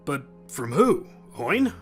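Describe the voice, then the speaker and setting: A man's voice: mid-range voice, sing-song, slow pace, a bit bright. A man playing a blunt, questioning detective in an amateur sci-fi audio play. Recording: music under the voice.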